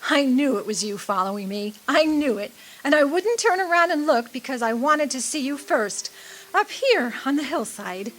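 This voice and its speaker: A woman's voice: high-pitched, animated, normal speed, rough recording. A woman acting in a radio drama as an adoring, earnest young sweetheart, romantic and hopeful. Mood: fond, excited.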